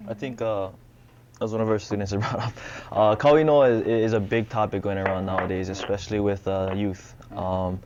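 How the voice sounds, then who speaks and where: Low flat-voiced young man, earnest. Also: normal speed, lo-fi sound, slight background noise. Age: young adult. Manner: calm, earnest, steady with fillers. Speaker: student and teacher, panel guest. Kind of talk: studio panel discussion. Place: TV studio.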